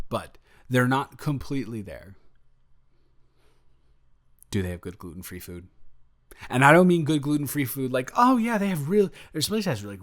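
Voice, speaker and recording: A man playing an excited, affectionate boyfriend on vacation in an improvised roleplay audio, rambling and stammering playfully. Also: lowish voice. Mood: mock-serious, eager.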